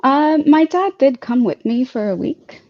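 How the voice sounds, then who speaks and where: A woman's voice: high voice, animated, unhurried. A young woman from Tanzania, talking in a casual interview over a video call, hesitant with many fillers and restarts. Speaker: guest speaker. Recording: dull lo-fi video call audio.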